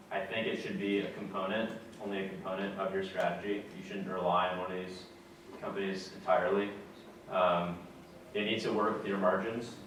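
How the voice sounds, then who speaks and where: A man's voice: fairly low pitch, distant mic, slight background noise. Young man speaking in an echoey lecture room. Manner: relaxed, plain-spoken, many fillers. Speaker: guest speaker. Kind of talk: casual talk to a student audience. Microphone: far camera.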